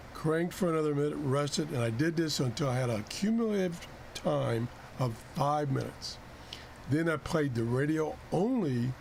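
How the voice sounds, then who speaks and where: A man with a slightly high voice presents a casual product test report. He speaks like a plain-spoken, folksy show host. There is some faint noise in the recording. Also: darkish tone. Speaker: host of a radio gadget review show. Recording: clearly noisy home recording.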